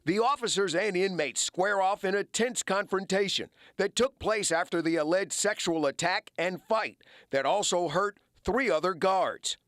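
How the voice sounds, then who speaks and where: Male TV investigative reporter, American accent, fairly high-pitched, delivering broadcast news copy. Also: normal speed, hardly any pauses, a bit bright, no room sound, clean studio sound.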